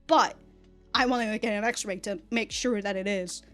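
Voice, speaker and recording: A young woman on a casual, rambling rant, stammering and repeating words as she goes, with animated, sweary delivery. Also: mid-range voice, moderate pace, soft background music. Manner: often laughs while talking. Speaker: online content creator.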